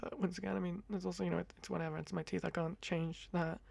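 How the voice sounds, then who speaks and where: A young man in his twenties with a high-pitched voice, rambling casually to camera in a chatty, self-deprecating ASMR talk on a close mic. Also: level tone, fast, vocal fry, darkish tone, dry recording. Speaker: ASMR creator. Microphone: close condenser mic.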